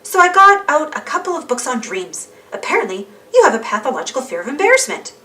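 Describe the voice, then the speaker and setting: Woman as a bookish, bright-voiced know-it-all schoolboy. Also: sits high, big pitch swings, quick pace, recorded from a distance, voice pushed up, heavily acted. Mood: matter-of-fact. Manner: bookish, precise. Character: brainy, matter-of-fact know-it-all schoolboy.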